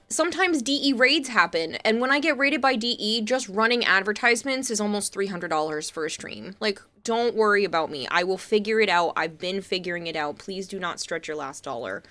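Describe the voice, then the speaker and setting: A woman's voice: medium pitch, lively intonation, normal speed, pushed and bright. A young woman from Massachusetts with a Boston accent, chatting casually to viewers on a live stream. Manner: chatty, reassuring, reading chat aloud.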